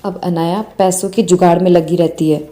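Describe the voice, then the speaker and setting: A woman's voice: on the low side, fairly even intonation, moderate pace, dark tone, faint music behind. A woman narrating a scripted bedtime moral story.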